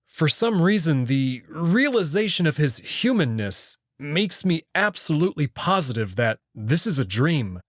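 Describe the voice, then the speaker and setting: An unhurried man narrates a visual novel in first person. His voice sits a little high and moves in lively swings of pitch. The sound is dry and close. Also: no echo.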